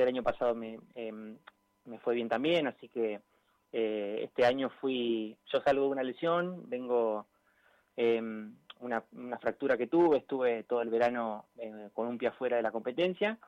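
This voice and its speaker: An Argentine man speaking casually as an interview guest over a phone call, often pausing to search for words. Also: medium pitch, no room sound. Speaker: interviewed amateur triathlete. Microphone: phone line.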